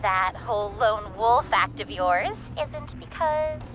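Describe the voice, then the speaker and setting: An actress playing a cheeky, teasing companion in a video game, with a sly, playful, mocking manner. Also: female voice, mid-range voice, animated, takes it slowly, dull audio, music in the background. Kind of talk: video game character banter.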